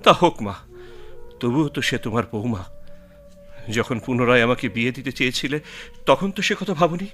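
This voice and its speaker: An actor playing an earnest young village husband and devoted son in a radio drama, emotional and hesitant. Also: male voice, medium pitch, animated, moderate pace, long pauses, a bit bright. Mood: imploring. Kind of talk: Bengali radio drama acting. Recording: music behind the voice.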